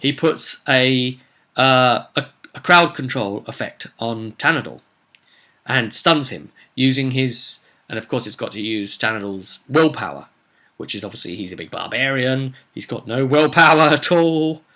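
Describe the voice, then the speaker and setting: A man's voice: medium pitch, animated, measured pace, pushed and bright. A man telling the story of a tabletop roleplay session as game master, in a rambling, conversational way with repeated phrases.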